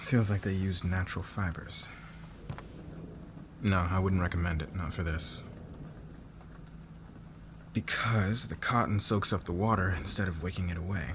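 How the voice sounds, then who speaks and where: A man acting a demanding, curt fashion boss who gets flustered by attraction, in an ASMR roleplay with clipped orders and hesitant pauses. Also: sits low, steady tone, background noise. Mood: flustered, businesslike.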